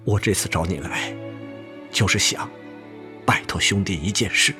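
An actor playing a gravely ill, resigned army man in a radio drama, speaking haltingly and weakly. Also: deep voice, over music, natural voice. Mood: earnest, pleading. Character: gravely ill older army friend, weary, resigned, earnest. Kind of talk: broadcast radio drama acting.

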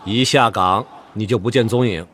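An actor playing a brotherly but stern army officer in a Chinese radio drama, with emphatic, expressive delivery. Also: male voice, lowish voice, moderate pace, slight background noise, close to an everyday voice. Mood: jovial, commanding. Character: hearty older army officer, brotherly yet stern male friend.

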